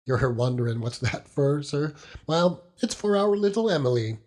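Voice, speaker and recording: A man's voice: fairly high pitch, lively intonation, normal speed, pitched above the performer's own voice, natural voice. A man voicing a doting, simple old fisherman with a rural English dialect in an audiobook. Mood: doting, tender. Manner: rambling, folksy, chuckling warmly. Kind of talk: audiobook character acting.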